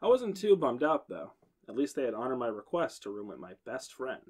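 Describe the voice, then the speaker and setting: A young man hosting a podcast, reading a horror story aloud to friends and pitching up for the girls' lines. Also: very animated, fairly quick, husky.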